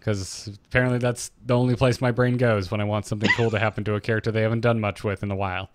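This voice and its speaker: A man's voice: on the low side, little pitch movement, very fast, noisy background. A man co-hosting a podcast, discussing game lore in an informal, joking way. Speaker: podcast co-host.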